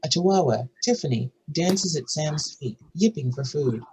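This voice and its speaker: A man's voice: on the high side, expressive, moderate pace, darkish tone, slight background noise, low-quality recording. A man narrating screenplay stage directions at a table read.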